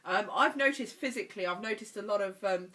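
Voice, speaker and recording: A woman vlogging in a chatty, animated way, her voice stuffy and congested from a cold. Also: medium pitch, fairly quick. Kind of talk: casual chat.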